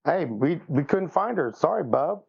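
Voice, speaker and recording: A man with a gravelly voice, bantering casually as a player in a tabletop game. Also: on the high side, expressive, normal speed, a little mellow, muffled recording. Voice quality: gravelly, creaky.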